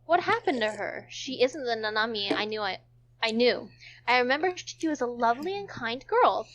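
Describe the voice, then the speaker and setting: A woman's voice: fairly high pitch, lively intonation, normal speed, a bit bright. A woman giving an amateur dramatic reading of a visual novel as narrator, sometimes stumbling over words, on a dull lo-fi recording. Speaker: narrator in a group read-along.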